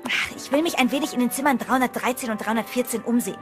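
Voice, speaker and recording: A woman's voice: on the high side, rapid-fire, music in the background, close to an everyday voice. An actress playing a determined, wily female sleuth in an audio horror drama. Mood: determined, secretive. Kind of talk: audio drama acting with first-person narration.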